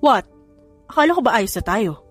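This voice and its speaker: A man's voice: very high-pitched, big pitch swings, normal speed, a bit bright, soft background music, full character voice. A woman voicing a desperate, lovesick young man who pleads with his ex in a storytelling audiobook. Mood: pleading, hurt.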